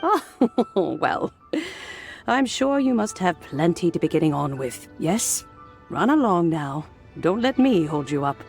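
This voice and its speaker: An actress voicing a wise, gracious elderly woman who speaks in a genteel, gently teasing way in a video game. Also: lowish voice, sing-song, faint music behind. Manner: genteel, warmly teasing, unhurried.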